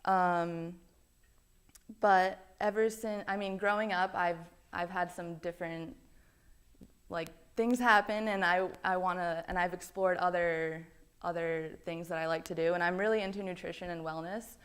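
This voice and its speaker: Chatty young woman with a smooth voice. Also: lowish voice, fairly even intonation, measured pace. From Belgium. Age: young adult. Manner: chatty, many ums and likes. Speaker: college student introducing herself. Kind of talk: casual self-introduction.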